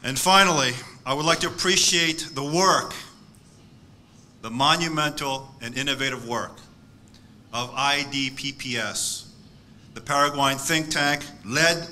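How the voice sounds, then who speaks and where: A man, delivering a measured, emphatic keynote speech at a podium microphone in a conference hall. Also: medium pitch, bright, forceful tone, a little hiss or hum. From born in South Korea, raised in the United States. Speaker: keynote speaker. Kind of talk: formal prepared speech.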